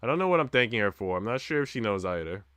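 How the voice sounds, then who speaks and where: A man's voice: on the low side, expressive, very fast, a bit bright, no room sound. A man streaming a visual novel, reading the story narration expressively with casual asides.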